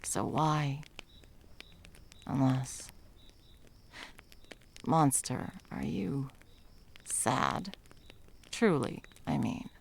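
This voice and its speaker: A woman playing a menacing, scornful monster hunter in an ASMR roleplay, speaking in a creaky, deliberate, dramatic way. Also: very deep voice, animated, a little hiss or hum, exaggerated voice. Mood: puzzled, probing.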